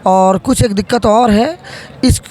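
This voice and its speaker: A man answering interview questions as a politician, halting and repeating phrases as he goes. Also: high-pitched, darkish tone, a little hiss or hum. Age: 30s.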